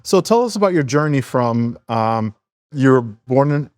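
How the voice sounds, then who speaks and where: Casting: male podcast host, mid pitch, loose easygoing questions, dry close recording. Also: animated, a little slow, a little mellow, no echo. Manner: loose, casual phrasing with laughs and fillers. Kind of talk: casual interview hosting.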